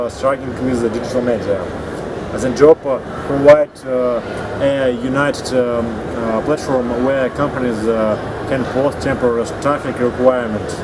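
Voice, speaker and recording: Russian-speaking man over loud background noise. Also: mid-range voice. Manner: hesitant, reading notes with fillers. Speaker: co-host. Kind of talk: casual chat reviewing startups. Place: indoor atrium of a large building.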